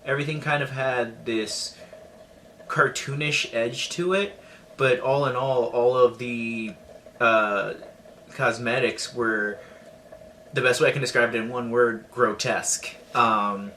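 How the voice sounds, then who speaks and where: A man gives casual audience feedback at a slow, deliberate pace. His mid-pitched voice is bright and forward, and he hesitates between phrases over faint background noise. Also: fairly even intonation. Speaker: film festival audience member. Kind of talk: casual spoken film review.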